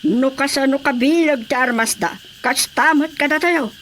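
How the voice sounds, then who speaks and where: A man's voice: extremely high pitch, moderate pace, slight background noise. A man with an Ilocano accent playing a fierce, commanding rebel commander in a radio drama. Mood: resolute plotting. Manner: commanding, forceful. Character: fierce rebel commander plotting ambushes in the mountains.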